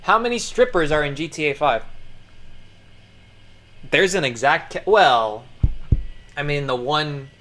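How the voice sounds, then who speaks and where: A man giving casual, profane running commentary, muttering and then breaking into sudden loud outbursts, his throat strained. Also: a little high, lively intonation, unhurried, pauses often. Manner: swears a lot, mutters, jumps to loud outbursts. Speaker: streamer reacting to videos.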